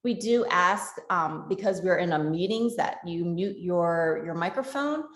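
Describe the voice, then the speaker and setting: A woman moderating a virtual panel discussion, friendly and halting with frequent fillers. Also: fairly low pitch, animated, reverberant. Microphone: video call.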